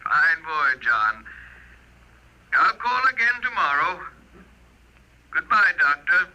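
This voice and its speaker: A man's voice: on the high side, very animated, bright, forceful tone, muffled recording, heavily acted. An actor playing an oddly cheerful, oblivious male caller in a 1950s radio drama, chatting politely through a telephone filter. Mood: oblivious politeness. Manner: slow, politely conversational, oblivious. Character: odd cheerful caller, chatting on regardless of replies.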